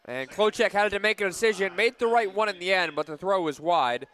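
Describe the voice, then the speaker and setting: A man doing live play-by-play for a softball radio broadcast outdoors, punchy on big plays and conversational between them. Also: high voice, animated, a little fast. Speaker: play-by-play sports announcer.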